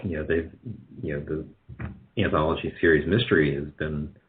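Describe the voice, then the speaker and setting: A man with a very low voice talks casually as a guest in an interview. He speaks haltingly, circling back and correcting himself as he goes. The audio is narrow and muffled, like a lo-fi call. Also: steady tone. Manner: halting, rambling, self-correcting. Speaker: guest, radio drama producer.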